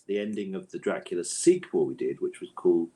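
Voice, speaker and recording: Man, low voice, author interview, conversational, discursive, repeated words and self-interruptions. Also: animated, brisk, warm tone.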